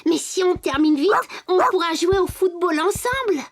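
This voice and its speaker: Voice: very high-pitched, moderate pace. Dans un dessin animé français, un jeune pantin de bois joyeux et serviable. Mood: cheerful, curious. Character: cheerful, helpful young wooden puppet boy. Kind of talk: French children's cartoon dubbing. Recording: music behind the voice.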